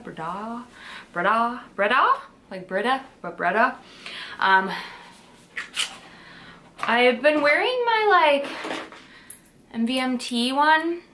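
A young woman chats away in a bubbly, rambling vlog, her voice bright and clear with plenty of "like" and "um" thrown in. She is filmed at home by a camera on a tripod, with a faint hiss of room ambience behind her. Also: fairly high pitch. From western New York. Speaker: vlogger. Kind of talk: casual chatty vlog. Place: kitchen and bedroom at home.

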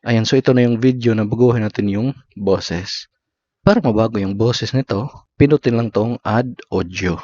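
A man's voice: lowish voice, normal speed, dark tone, no room sound. A man with a Filipino accent gives step-by-step app tutorial narration in short, instructional phrases. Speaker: tutorial host. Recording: slightly dull lo-fi voice recording.

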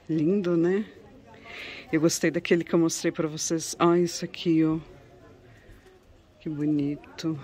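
A woman's voice: fairly low pitch, a little mellow. A woman with a Brazilian accent chatting casually and admiringly to viewers as she vlogs inside a shop, often ending on little tag questions. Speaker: vlogger showing shop goods to viewers. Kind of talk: casual shopping vlog chat. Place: inside a cluttered home textiles shop.

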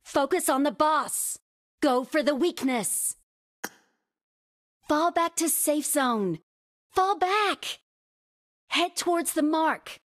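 High-pitched female squad soldier, clipped callouts. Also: expressive, slow, pauses often, fairly bright tone, full character voice. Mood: urgent, commanding. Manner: clipped, isolated command phrases. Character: urgent female battle-royale squadmate calling tactical orders. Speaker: game voice-pack actor. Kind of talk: short scripted game callouts.

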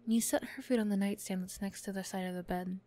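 A woman's voice: medium pitch, fairly even intonation, fast, mellow, no room sound. A woman reading scripted second-person narration for an ASMR audio roleplay.

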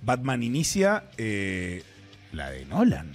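A man's voice: on the low side, wildly swooping pitch, slow, soft background music. A man in his thirties with an Argentine accent, co-hosting a casual streaming show in a laid-back, slangy, argumentative way. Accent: Argentine Spanish. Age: 30s. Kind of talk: casual banter.

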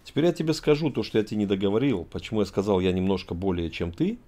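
A man's voice: on the low side, lively intonation, fast, warm tone. A middle-aged man from Tajikistan hosting a heated video-chat argument, lecturing and emphatic, talking over a video call from an indoor room. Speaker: host.

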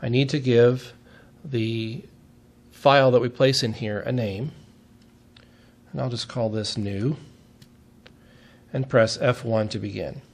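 A man's voice: medium pitch, steady tone, takes it slowly, vocal fry, pauses often. A man giving a step-by-step technical demonstration in a methodical, plain-spoken way, as an instructor would.

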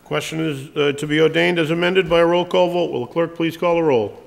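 Gravelly man chairing a council meeting. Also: a little high, fairly even intonation. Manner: brisk procedural phrasing. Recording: reverberant chamber with faint hiss.

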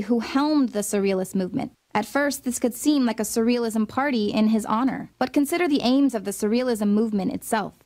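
A woman with a fairly high voice reads a scripted voice-over. Her delivery is dramatic and insinuating. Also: darkish tone. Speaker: narrator. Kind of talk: scripted narration.

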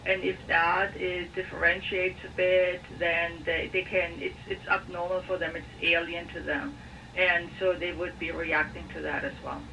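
A woman giving informal expert advice on a phone-in, in a measured, explanatory manner. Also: on the low side, flat delivery, gravelly, sharp tone, muffled recording. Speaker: dog expert guest on a phone-in. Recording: distant, noisy radio playback.

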